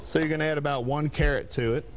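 A middle-aged man, a diner chef, showing a recipe on a TV cooking segment in a plain, relaxed way with a few "uh" pauses. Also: fairly high pitch, background noise. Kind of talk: cooking demonstration. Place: TV studio kitchen set.

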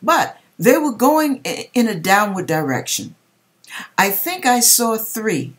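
A middle-aged woman reading aloud and commenting in a deliberate, dramatic way with pauses, recorded in a home kitchen. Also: on the high side, big pitch swings. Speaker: vlogger reading a viewer's dream and commenting. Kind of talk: expressive read-aloud with religious commentary.

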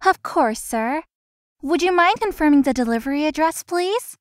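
A woman's voice: high voice, animated, normal speed, dry recording, clean studio sound. A woman voicing a polite, hard-working young florist in a visual novel game. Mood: polite, cheerful.

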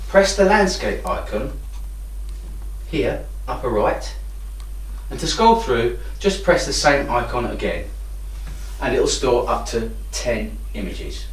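Man in an echoey room, lively intonation. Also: medium pitch, slow pace. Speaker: product demonstrator. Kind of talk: instructional product demonstration. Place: indoor room with hard walls. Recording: roomy echo, distant microphone.

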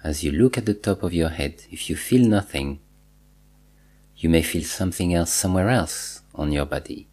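A man guiding a body scan meditation, giving measured instructions in short phrases with pauses and repetition. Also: low-pitched, a little mellow. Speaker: meditation teacher.

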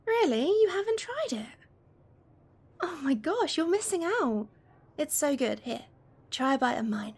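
A woman playing a playful, affectionate young best friend in a gentle, teasing ASMR roleplay. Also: high voice, sing-song, unhurried, long pauses, exaggerated voice. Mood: warm, enthusiastic. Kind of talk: gentle ASMR roleplay, one-sided conversation.

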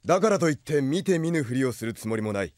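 An actor playing a grave, lordly exiled prince who leads a rebel army, speaking in solemn, measured lines in an anime audio drama. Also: male voice, mid-range voice, expressive, a little mellow, no room sound, studio quality, close to an everyday voice. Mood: grave, resolute.

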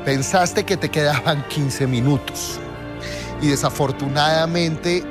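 A man with a slightly high voice delivers a verdict as a cooking show judge. The music behind him is very loud. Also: fairly even intonation, slow.

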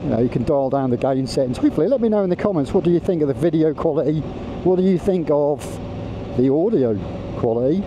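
Motovlogger, male, slightly higher voice, loose rambling delivery, wind and engine noise through a helmet mic. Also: expressive, fast. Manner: rambling, self-interrupting, chatty. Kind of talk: casual riding commentary. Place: on a motorbike on country roads. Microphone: wireless mic inside helmet. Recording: windy helmet mic on a moving motorbike.

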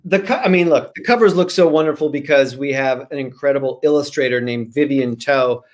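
A man in his forties with a medium-pitched voice talks casually as a guest author. He is animated and repeats phrases for emphasis, speaking into a computer mic on a video call from a room at home. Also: brisk. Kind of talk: casual interview chat. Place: small room at home, indoors. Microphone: video call, computer microphone.

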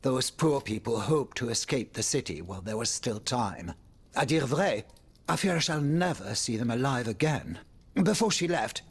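A man's voice: medium pitch, breathy edge. An actor playing an urbane, worried, wry French nobleman in a video game, with a courtly, theatrical manner that moves between exclamation and confiding murmur. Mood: troubled, confiding. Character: urbane male French aristocrat, worried, wry, world-weary. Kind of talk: dramatic video game dialogue.

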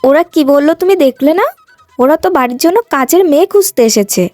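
A woman's voice: high voice, animated, moderate pace, warm tone, no echo, soft background music. A woman voicing a vain, headstrong young woman in a cartoon folk tale. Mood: haughty, indignant. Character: vain young long-haired woman, haughty and quarrelsome, later humbled.

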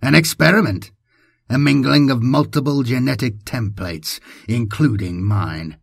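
A man's voice: medium pitch, measured pace, creaky, slightly rough, dry recording. A man voicing a proud, cold scientist and father, speaking formally and with dry detachment in an audiobook. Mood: reflective. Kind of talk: audiobook character voice.

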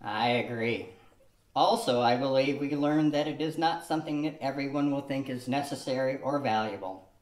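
A man's voice: medium pitch, moderate pace, natural voice. An older man playing Elmer, a patient, reasoned husband, reading carefully from a script in an amateur radio play. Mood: calm. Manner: reads carefully from a script, lecturing tone. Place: studio room with a cloth backdrop. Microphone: stand mic on a tripod. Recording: echoey room.

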